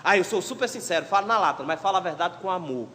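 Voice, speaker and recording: A male pastor with a Pernambuco accent delivers a sermon in a high voice. He swings between forceful exhortation and hushed asides. The sound carries the long reverb of a church. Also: lively intonation, fast talker. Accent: Pernambuco Portuguese. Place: church sanctuary.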